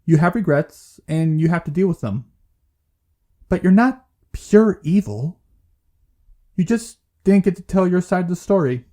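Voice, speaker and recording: An actor plays a male therapist in an audio play. His voice is on the high side for a man and very soft-edged. He speaks with measured, gently firm reassurance. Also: expressive. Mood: frank, calm.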